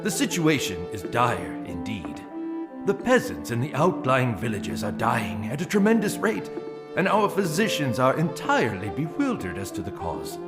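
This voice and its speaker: A stern viceroy in an audio drama, formal and courtly. His medium-pitched voice moves through wide, dramatic inflections. Music runs clearly behind his words. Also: huge pitch swings, normal speed. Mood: grave, respectful. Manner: formal, grave, ornate courtly delivery. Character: stern, formal royal viceroy, shrewd adviser.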